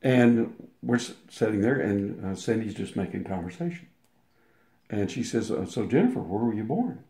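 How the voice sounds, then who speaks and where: A man's voice: on the low side, expressive, moderate pace, warm tone. An older man telling a long personal anecdote as an interview guest, rambling with restarts and acting out the quotes. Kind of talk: casual storytelling. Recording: roomy echo.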